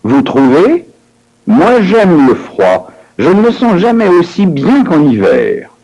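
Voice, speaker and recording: A man narrating and reading dialogue for a language course in a measured, announcing way. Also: high voice, wildly swooping pitch, mellow, husky, rough recording. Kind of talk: scripted language lesson narration.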